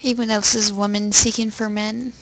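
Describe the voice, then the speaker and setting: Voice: mid-range voice, level tone, normal speed, a little hiss or hum, low-quality recording. A young adult with a crude, sweary way of talking, chatting casually and making prank phone calls. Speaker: prank caller. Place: indoors at a computer.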